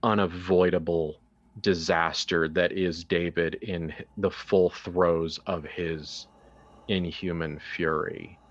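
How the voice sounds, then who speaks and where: A man runs a horror tabletop game as its theatrical narrator. He speaks extremely slowly and deliberately, leaving long pauses, in a very deep voice. The sound is slightly dull and lo-fi. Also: level tone. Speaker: game master.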